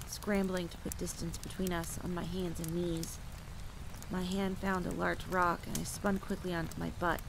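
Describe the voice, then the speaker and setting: A woman plays a grim, haunted vampire hunter telling a campfire horror tale in an audio drama. Her voice is lowish and gravelly, with measured emphasis and dramatic pauses, over steady rain. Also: background noise. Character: female vampire hunter Morgan, haunted, grimly intense campfire storyteller. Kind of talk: dramatic first-person horror storytelling. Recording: steady rain and ambience behind voice.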